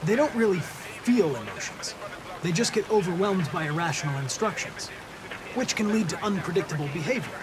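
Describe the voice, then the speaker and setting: A man's voice: high-pitched, animated, normal speed, noisy background. A man playing a polite young android detective in a video game, speaking formally and precisely in an earnest, analytical way. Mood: earnest, composed.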